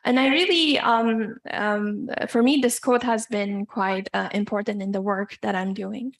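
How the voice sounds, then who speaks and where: A woman from Iran giving an academic lecture as a guest speaker, measured and hesitant, pausing often as she goes. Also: mid-range voice, steady tone.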